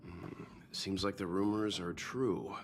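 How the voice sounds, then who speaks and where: An actor voicing a calm, thoughtful, worldly gentleman in a video game, speaking in a measured, pondering way. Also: sits low, soft background music. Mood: thoughtful, wary.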